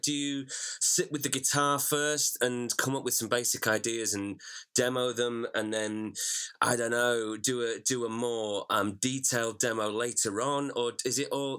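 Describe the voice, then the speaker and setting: A man's voice: medium pitch, sharp tone. A man hosting a podcast interview, asking long, hesitant questions full of fillers. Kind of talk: casual interview.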